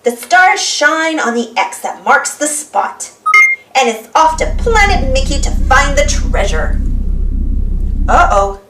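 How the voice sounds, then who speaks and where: A woman narrating a children's storybook in an animated read-aloud style. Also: high-pitched, far from the mic, slight background noise. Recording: roomy, voice away from microphone.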